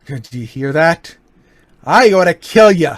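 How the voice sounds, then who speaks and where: A man playing a suave, taunting gentleman burglar. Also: fairly high pitch, lively intonation, unhurried, no room sound, clean studio sound. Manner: smooth, mocking, turns menacing. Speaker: voice actor. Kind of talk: amateur old-time radio play.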